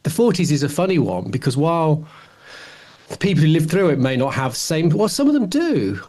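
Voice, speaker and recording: A man's voice: a little high, big pitch swings, a little fast, a little mellow. A middle-aged man with a British accent co-hosting a podcast, chatting casually in a rambling, stop-start way. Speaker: podcast co-host. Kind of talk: casual chat about television.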